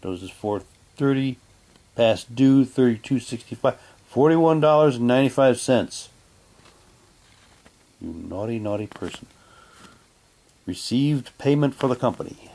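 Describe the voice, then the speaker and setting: A man chatting casually while reading documents aloud, haltingly, with muttered asides to himself. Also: mid-range voice, expressive, dark tone. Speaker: reviewer unboxing an audio drama's props.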